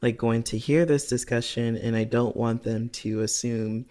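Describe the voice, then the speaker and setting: A man's voice: medium pitch, steady tone, moderate pace, mellow. A man co-hosting a podcast. He talks in an earnest, rambling way, fills his speech with "like" and asks himself rhetorical questions. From United States. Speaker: podcast co-host. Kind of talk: casual reflective chat.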